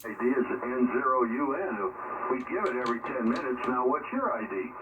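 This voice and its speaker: A man's voice: medium pitch, expressive, moderate pace. A brusque, salty man chatting casually as an amateur operator, heard over a noisy, distant shortwave radio.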